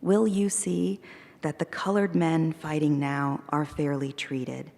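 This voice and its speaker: A woman poet giving a measured, deliberate reading of her poems at a live event. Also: low voice, little pitch movement, creaky, darkish tone, long reverb tail. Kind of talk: poetry reading. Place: performing arts center hall.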